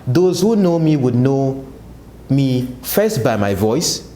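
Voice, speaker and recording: A man's voice: mid-range voice, big pitch swings, takes it slowly, mellow, roomy sound, a little hiss or hum. A Nigerian man giving political commentary to his viewers, asking and answering his own rhetorical questions. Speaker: political analyst, channel host. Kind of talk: commentary to camera.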